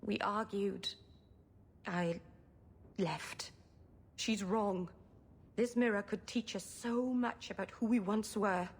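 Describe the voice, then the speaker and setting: A mid-pitched voice for a young female elf mage in a fantasy game. She sounds gentle, naive and stubbornly hopeful, with an earnest, halting delivery. Faint sound sits behind her. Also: fairly even intonation, husky. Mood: earnest, defensive. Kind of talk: dramatic video game acting.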